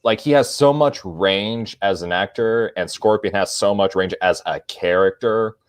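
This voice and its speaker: Low-voiced, halting man hosting a podcast. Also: clean tone, no echo, studio quality. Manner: halting, repeats and stammers on words. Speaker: podcast host. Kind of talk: casual fan podcast chat.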